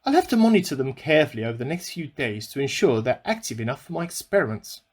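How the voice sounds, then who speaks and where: A man's voice: mid-range voice, very animated, fast talker, a little hiss or hum, lightly acted. A man playing an eccentric amateur scientist who earnestly records a self-important secret diary in a radio play. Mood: weary, musing. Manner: earnest, self-important, musing aloud in dated log entries.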